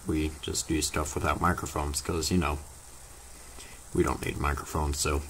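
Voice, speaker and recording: A teenage boy, slightly hoarse from losing his voice, chatting casually to his viewers in a rambling, halting way full of fillers. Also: very deep voice, little pitch movement, fairly bright tone, slight background noise. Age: teens. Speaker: vlogger. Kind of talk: casual vlog chat to viewers.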